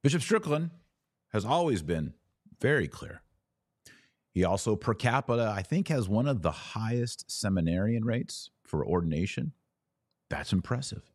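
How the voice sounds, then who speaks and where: An American man hosting a podcast, giving opinionated church news commentary in an emphatic way, with deliberate pauses and sardonic asides. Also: on the low side, big pitch swings, no room sound, clean studio sound.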